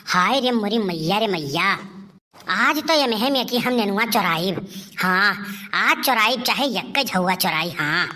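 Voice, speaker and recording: A woman's voice: medium pitch, pushed and bright. A woman voicing a scheming, melodramatic older village aunt in a cartoon comedy, with an eastern Uttar Pradesh Awadhi accent and wailing, repetitive exclamations. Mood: greedy glee. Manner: wailing, exclamatory, repeats herself. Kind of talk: cartoon comedy monologue in rural dialect.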